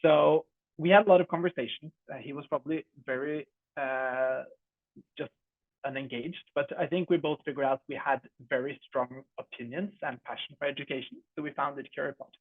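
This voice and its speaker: A man's voice: medium pitch, steady tone, moderate pace, no room sound. A young man with a Norwegian accent, a startup co-founder giving a friendly, chatty product demo over a video call, with many fillers. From Norway. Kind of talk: online demo presentation. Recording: dry, phone-like video call audio.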